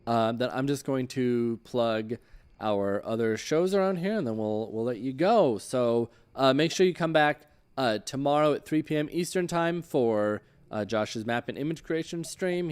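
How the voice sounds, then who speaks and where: A man hosting a casual online interview show about tabletop games, speaking in a halting, hesitant way. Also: mid-range voice, expressive, darkish tone, clean tone.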